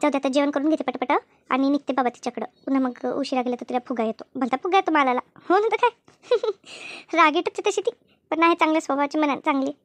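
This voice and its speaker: Cartoon village housewife played by a woman: extremely high voice, quick chatter, helpful, nosy, repeats herself. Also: no echo, close to an everyday voice. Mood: busy, agreeable. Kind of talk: animated cartoon comedy dialogue.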